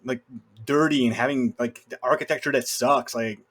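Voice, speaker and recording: Male, mid-pitched, wry and opinionated, informal film-podcast discussion. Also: fairly even intonation, moderate pace, fairly bright tone.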